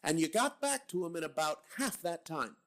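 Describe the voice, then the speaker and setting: An actor playing a blunt, sarcastic police captain. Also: male voice, on the high side, big pitch swings, normal speed, a bit bright. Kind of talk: old-time radio drama reading.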